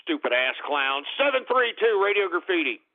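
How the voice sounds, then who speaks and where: A male radio host taking calls on a call-in show, ranting and shouting exclamations. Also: high-pitched, very animated, a little fast, gravelly. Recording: lo-fi narrow-band broadcast with faint music.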